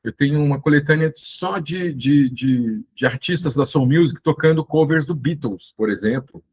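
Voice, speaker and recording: A man's voice: fairly high pitch, moderate pace, husky. A man in his fifties from the interior of São Paulo, hosting his channel and reminiscing in a rambling, casual monologue full of restarts. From Brazil, interior of São Paulo state. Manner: rambling, with many fillers and restarts. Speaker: channel host.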